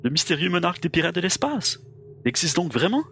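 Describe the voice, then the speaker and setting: A man's voice: fairly high pitch, very animated, fast, gravelly, fairly bright tone, a little hiss or hum, heavily acted. An actor playing a skeptical male space colonel with an incredulous manner.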